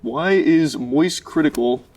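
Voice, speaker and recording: A man's voice: on the high side, slow, darkish tone, no echo, far from the mic. A man reading interview questions aloud in a plain, matter-of-fact way.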